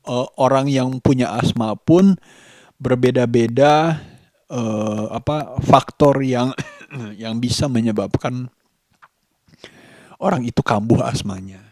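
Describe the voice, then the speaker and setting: A man talking in a casual daily vlog, reflective and halting, pausing mid-sentence. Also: mid-range voice, darkish tone, dry recording, clean studio sound. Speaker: vlogger.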